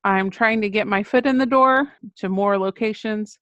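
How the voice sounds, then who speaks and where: A woman's voice: mid-range voice, moderate pace, a bit bright, no room sound, muffled recording. American woman author in a dry recording. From Texas, United States. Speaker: children's book author, podcast guest. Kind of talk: interview conversation, explaining her writing.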